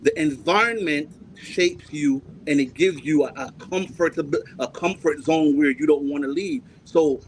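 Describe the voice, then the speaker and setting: A man in his forties from Jamaica leads a heated panel debate as its host. His voice sits a little high for a man. He talks forcefully, keeps cutting in with "hold on" and repeats himself to hold the floor, over a faint hum of background noise. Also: normal speed, hardly any pauses, rough recording. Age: 40s.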